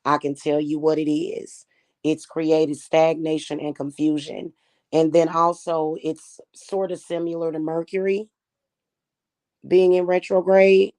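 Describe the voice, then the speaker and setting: Slightly hoarse woman chatting to viewers. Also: low voice, little pitch movement, unhurried, mellow. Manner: chatty, defiant, addresses viewers as y'all. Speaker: spiritual reader and channel host. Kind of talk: casual talk to viewers.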